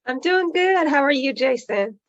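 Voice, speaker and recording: A hoarse woman who manages client relationships, reading out viewer questions in a friendly, conversational way. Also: sits high, lively intonation, moderate pace, no echo, muffled recording.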